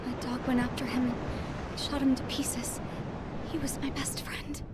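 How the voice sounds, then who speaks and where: Sad young princess, highish voice that barely moves, formal and mournful game narration. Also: female voice, level tone, measured pace, whispered. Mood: solemn grief. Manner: solemn, formal, sorrowful. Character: grieving, idealistic young princess, numb with loss. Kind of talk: scripted first-person game cutscene narration. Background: loud music.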